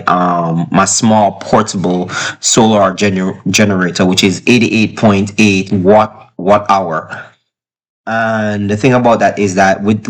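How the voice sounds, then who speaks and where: A man talking casually to camera as he reviews gear at home, explaining haltingly and repeating phrases for emphasis. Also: on the low side. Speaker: product reviewer. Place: child's bedroom at home.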